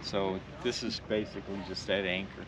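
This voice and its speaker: A man's voice: low voice, level tone, moderate pace, crowd noise, poor audio. A middle-aged or older man talking casually and matter-of-factly as he shows his boat, recorded from a little way off. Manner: plain-spoken, matter-of-fact. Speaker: boat owner giving a tour. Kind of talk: casual chat. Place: sailboat, on deck and in small wooden cabin. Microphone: camera a couple of metres away.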